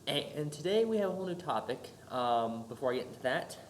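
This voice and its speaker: A man's voice: a little high, sing-song, fast talker, a little hiss or hum. Stuffy-nosed young man giving a class talk. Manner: rambling, with many fillers and restarts. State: congested, stuffed-up from a cold. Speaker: student presenter. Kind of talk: informal recorded class presentation.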